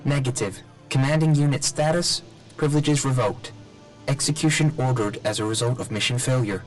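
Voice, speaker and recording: An actor playing an emotionless military robot drone in a video game, giving literal, clipped status reports. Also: male voice, mid-range voice, moderate pace, music in the background, close to an everyday voice, poor audio. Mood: impassive, reporting.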